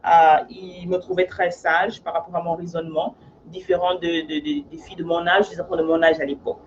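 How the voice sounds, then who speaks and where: A woman's voice: on the low side, lively intonation, brisk, muffled recording, slight background noise. A woman from Africa who works as a life coach, talking in an earnest, casual monologue and often checking in with her listener.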